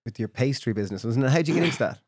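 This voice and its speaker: A man's voice: medium pitch, expressive, very fast, slightly rough, no echo, clean studio sound. A man co-hosting a podcast, eagerly prompting the guest with questions and finishing his thoughts. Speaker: podcast co-host. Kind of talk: casual conversation.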